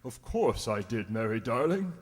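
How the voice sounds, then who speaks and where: A mid-range voice from a man plays a devoted young bridegroom. He is earnest, gentle and deferential, in radio-drama style. The voice echoes in a hall. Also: mid-range voice, big pitch swings, moderate pace, natural voice. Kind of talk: radio play acting.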